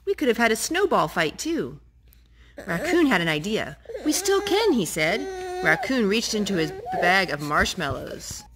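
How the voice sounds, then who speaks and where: A young woman reads a picture book aloud at storytime, animated and gentle, with a voice in the middle of her range. Behind her there is a faint hiss. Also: medium pitch, normal speed. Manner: gentle, animated read-aloud voice, talking to the children between pages. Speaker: storytime reader. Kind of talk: reading picture books aloud to children.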